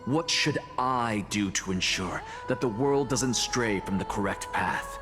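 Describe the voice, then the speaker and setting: A man playing a haughty, sharp-tongued professor in a video game, lecturing in an imperious, deliberate way. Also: fairly low pitch, lightly acted. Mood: stern, lecturing. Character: haughty, sharp-tongued male professor, stern mentor to a student. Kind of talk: scripted game voice acting. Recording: music behind the voice.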